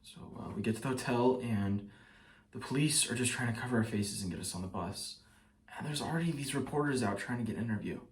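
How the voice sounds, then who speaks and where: Man acting a haunted, halting juror, echoey room. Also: mid-range voice, close to an everyday voice. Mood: subdued, haunted. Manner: halting, with pauses and repeated phrases. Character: shaken male trial juror, hounded, guilt-ridden and bitter. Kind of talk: dramatic stage monologue, testimony-like. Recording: roomy echo, distant mic.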